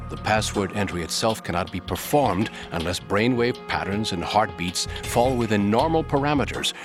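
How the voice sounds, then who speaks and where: An actor playing a self-assured military insider in a video game, explaining things in an assertive way. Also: male voice, on the low side, close to an everyday voice. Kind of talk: video game cutscene acting.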